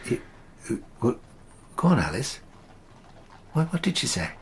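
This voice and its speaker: A man playing a kindly, middle-aged English gentleman doctor in a radio drama, gentle and coaxing, with an English accent. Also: medium pitch, big pitch swings, measured pace, slight background noise. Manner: gentle, coaxing, softly hesitant.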